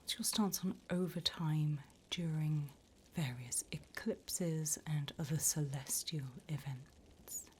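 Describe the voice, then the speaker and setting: A woman playing a wickedly amused, businesslike female demon interviewer in a breathy, whispered ASMR roleplay. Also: sits low, fairly even intonation, takes it slowly, few pauses, slight background noise. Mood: businesslike, teasing.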